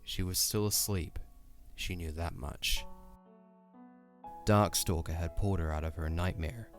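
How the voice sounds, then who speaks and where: A man's voice: low voice, steady tone, measured pace. A man narrating a fantasy audio drama in a dramatic, scripted storytelling style. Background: music.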